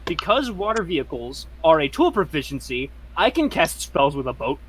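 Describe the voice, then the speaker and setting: Young dude at a d&d table, jokey, laughs a lot, lively voice. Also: male voice, on the high side, a little hiss or hum, poor audio. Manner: joking, quick to laugh. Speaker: player. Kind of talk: casual tabletop game chat.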